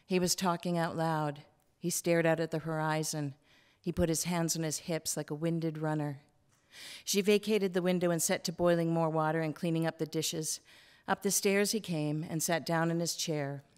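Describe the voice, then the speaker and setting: A woman reading from a novel in an expressive storytelling manner at a live book launch. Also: low voice, normal speed, clear, resonant tone. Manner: storytelling, acts out dialogue. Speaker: author reading from own novel. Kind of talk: expressive literary reading to an audience.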